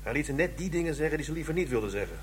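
A man's voice: on the high side, animated, very fast, slight background noise, close to an everyday voice. A man playing a businesslike, observant police detective in a Dutch radio crime drama, speaking in a matter-of-fact way.

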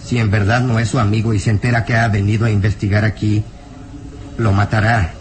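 An actor playing a burly, frightened and bitter peasant villager in a melodramatic radio drama, anguished, emphatic and halting. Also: male voice, fairly low pitch, level tone, noisy background, close to an everyday voice. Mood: bitter, fearful.

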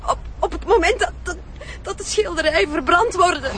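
An actress playing a frightened, cornered young drug user turned informant in a screen drama, stammering in a Flemish accent. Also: female voice, very high-pitched, a little fast, a crowd in the background. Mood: frightened, distraught. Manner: stammering, repeating words.

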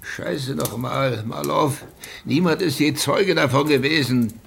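An actor playing a tense, defensive provincial police chief in a noir radio drama, agitated, insistent and clipped. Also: male voice, a little high, measured pace, vocal fry, husky, heavily acted. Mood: exasperated.

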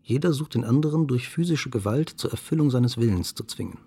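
A man reciting a literary radio-play monologue in a measured way, husky and gravelly, at times nearly whispering. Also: mid-range voice, animated, warm tone. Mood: grave, didactic.

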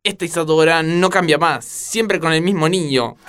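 An actor playing a pompous, silly detective boss in a parody radio play, with theatrical mock solemnity. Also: male voice, fairly high pitch, normal speed, a bit bright, lightly acted. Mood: mock-serious. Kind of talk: parody radio play acting in neutral dubbing Spanish. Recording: music behind the voice.

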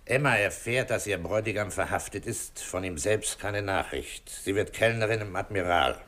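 A male actor plays a police inspector with a fairly low voice. He is calm, authoritative and probing, with measured commands in the manner of a 1960s German radio crime drama. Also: natural voice. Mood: measured summing-up.

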